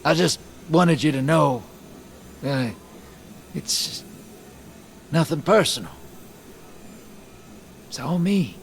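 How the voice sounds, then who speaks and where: An actor playing a weary, bitter and resigned loner in a video game, confessing haltingly and sometimes dropping into hushed asides. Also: male voice, a little high, creaky, slight background noise. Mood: resigned, regretful. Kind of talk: dramatic video game acting.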